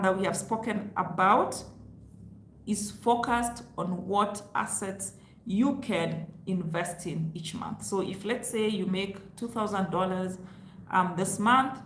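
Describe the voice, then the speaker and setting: A woman whose first language is Luo, giving casual money advice in a chatty, explaining way. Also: medium pitch. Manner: chatty, explains with rhetorical asides. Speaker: vlogger. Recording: roomy echo with faint hiss.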